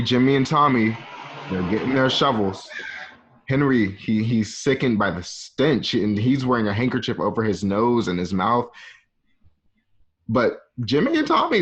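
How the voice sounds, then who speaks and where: A man narrating an amateur radio play, reading stage directions in a loose, conversational, ad-libbing way. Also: medium pitch. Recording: dull lo-fi home connection.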